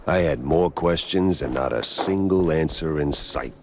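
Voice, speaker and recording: An actor playing a weary, wisecracking detective mouse in a noir game, with wry, sardonic delivery. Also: male voice, a bass voice, a little slow, music in the background. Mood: wry, brooding. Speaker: protagonist and narrator.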